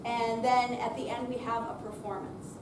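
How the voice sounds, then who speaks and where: A woman presenter speaking loudly and chattily off the cuff to a live audience, introducing a theater program. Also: fairly high pitch, level tone, normal speed. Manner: loud, projecting, chatty. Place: senior center room, unamplified, before an audience. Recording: distant mic, echoey room, noisy.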